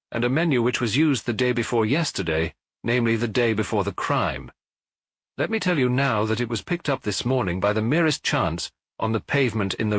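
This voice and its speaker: A man's voice: medium pitch, a bit bright, no room sound, studio quality. A man voicing a self-satisfied, eager police inspector in an audiobook, with a pompous, formal, explanatory manner. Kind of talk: audiobook character voice.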